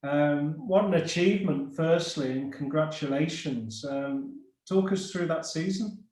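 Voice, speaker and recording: A man's voice: on the high side, measured pace, darkish tone. A man hosting an interview over a video call, easygoing with frequent fillers. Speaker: host. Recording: roomy video call audio.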